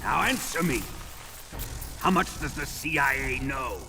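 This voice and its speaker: Menacing military tyrant, gravelly, theatrical, sadistic, domineering, video game drama, music underneath. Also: male voice, mid-range voice, expressive, unhurried. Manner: theatrical, menacing, savouring his words. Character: sadistic, domineering male military officer torturing a prisoner.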